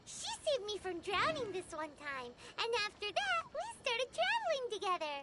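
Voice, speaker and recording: A woman voicing a tiny, excitable floating fairy companion in a video game, with a chirpy, eager, childlike manner. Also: very high voice, normal speed, noisy background. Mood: matter-of-fact, curious. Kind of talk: video game character acting. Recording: music behind the voice.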